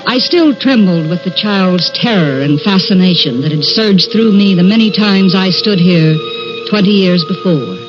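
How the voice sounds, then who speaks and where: A woman's voice: fairly low pitch, normal speed, lightly acted. An actress playing a curious, self-possessed young woman writer in an old-time radio drama, speaking articulately and theatrically. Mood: haunted, dramatic. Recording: dull vintage radio recording with music.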